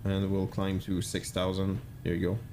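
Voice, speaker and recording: Low-voiced male sim streamer. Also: completely flat delivery, gravelly, darkish tone, a little hiss or hum. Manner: thinks aloud, reads chat, halting with many fillers. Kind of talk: casual live-stream chat.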